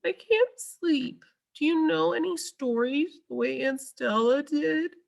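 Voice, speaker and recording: Woman as a tearful, high-pitched baby elephant. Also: slow pace, creaky, heavily acted. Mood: tearful, pleading. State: crying, sniffling. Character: sad, sobbing young female baby elephant.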